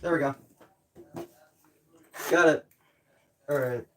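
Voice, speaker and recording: A man's voice: fairly high pitch, slow. Man chatting to himself, distant noisy mic. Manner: muttering to himself while working. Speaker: streamer building DIY projects. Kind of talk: casual livestream chat while working. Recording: distant mic with background noise, clicking.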